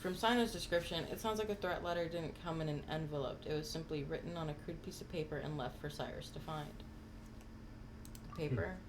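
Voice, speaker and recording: A woman's voice: low voice, a little fast, noisy background. A woman game streamer giving casual commentary with wry, reactive asides, close on the mic. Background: game music.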